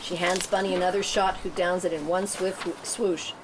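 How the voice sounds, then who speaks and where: A woman's voice: flat delivery, moderate pace. A woman reading scripted stage directions as narrator at a table read. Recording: noisy room, rumbling ambience.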